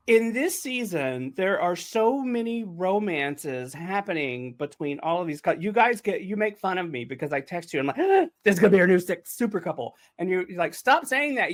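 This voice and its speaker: A man chatting casually and effusively, with emphatic phrasing, as one of the writers on a podcast. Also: high voice. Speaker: audio drama writer and podcast host. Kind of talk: casual chat.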